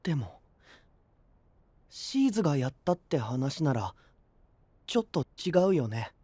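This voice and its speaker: A man's voice: mid-range voice, sing-song, measured pace, warm tone, husky, dry recording. An actor playing an easygoing, friendly young man with a light, casual manner in an anime audio drama. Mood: wistful, resigned.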